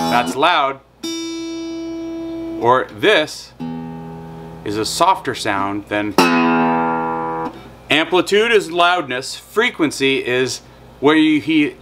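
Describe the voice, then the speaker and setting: A man in his thirties giving a recorded psychology lecture outdoors in a backyard, explaining with a guitar and a whiteboard. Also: on the high side. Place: outdoors in a backyard patio and garden.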